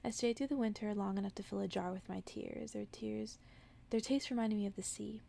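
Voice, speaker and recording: A woman reading a bedtime story aloud in ASMR style, soft-spoken and often dropping into a whisper. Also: mid-range voice, fairly even intonation, a little fast, creaky, slight background noise.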